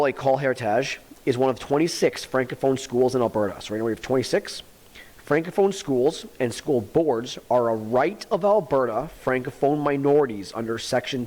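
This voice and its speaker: A man's voice: medium pitch, low-quality recording. A man, a teacher reading a textbook aloud to students and explaining it plainly, repeating the key points.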